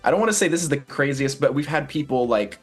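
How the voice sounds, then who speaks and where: A man's voice: medium pitch, animated, quick pace, faint music behind. A man, a stage actor being interviewed, chatting casually in a joking, rambling storyteller's way. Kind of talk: casual promotional interview chat.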